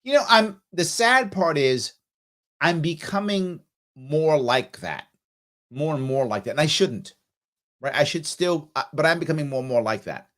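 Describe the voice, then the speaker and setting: A man's voice: a little high, lively intonation, normal speed, fairly bright tone. Middle-aged New man hosting live Q&A. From New York City, South Bronx. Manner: reads chat comments aloud, then answers offhand with fillers. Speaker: livestream host answering viewer questions. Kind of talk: live ask-me-anything chat.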